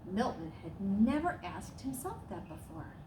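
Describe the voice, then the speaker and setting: A woman reading a children's storybook aloud as a storyteller, with expressive, dramatic emphasis. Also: medium pitch, a little slow. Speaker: staff storyteller. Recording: roomy echo, clear background noise.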